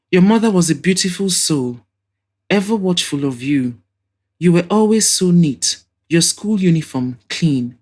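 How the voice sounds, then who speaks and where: A woman's voice: low voice, darkish tone. A woman voicing an effusive, nostalgic older mother and hostess in an audio drama. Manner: effusive, exclaiming, reminiscing.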